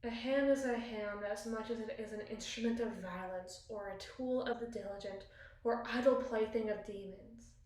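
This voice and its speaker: A woman playing a battle-scarred, commanding evil queen in an intimate ASMR roleplay, speaking formally and wistfully with deliberate, theatrical pauses. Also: a little high, darkish tone, natural voice. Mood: proud, reflective. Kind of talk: ASMR roleplay, slow dramatic monologue. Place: small bathroom, indoors. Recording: echoey tiled bathroom.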